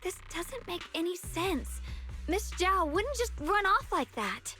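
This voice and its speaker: Earnest young sword girl, really high bright voice. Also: very animated, slow, faint music behind, heavily acted. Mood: worried. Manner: earnest, emphatic.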